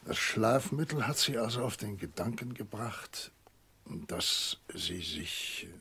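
An actor in a German radio drama playing a concerned family doctor, gentle, measured and sympathetic in his speech. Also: on the low side, expressive, husky, heavily acted. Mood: probing, calm.

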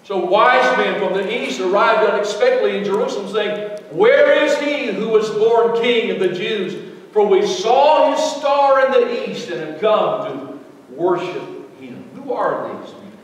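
A middle-aged man preaching a sermon, swinging between loud, emphatic lines and soft ones. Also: high-pitched, animated, unhurried. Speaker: pastor. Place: large church sanctuary. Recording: echoey hall, voice from far off.

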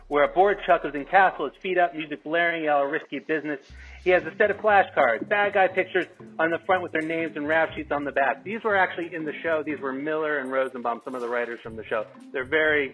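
A man's voice: a little high, fast talker. A man reading stage directions from a script at a table read, with thin phone-like sound. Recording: thin phone-like audio, music behind.

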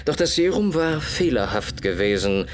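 A man plays a brilliant Victorian detective in a radio play. He sets out his deductions gravely and methodically at a middle pitch, pausing and repeating words for weight. Slight noise sits behind the voice. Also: sing-song, lightly acted. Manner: deliberate, measured, expository, with dramatic repetitions. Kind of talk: German radio play dialogue, explanatory monologue.

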